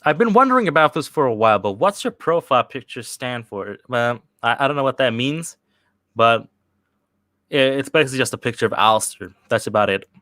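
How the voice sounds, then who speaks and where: A man's voice: medium pitch, lively intonation, bright, forceful tone, dry recording, clean studio sound. A young man from Sweden chatting casually on a livestream, answering viewer questions with lots of fillers and restarts. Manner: rambling, halting, with many restarts and fillers. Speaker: channel host answering live chat questions.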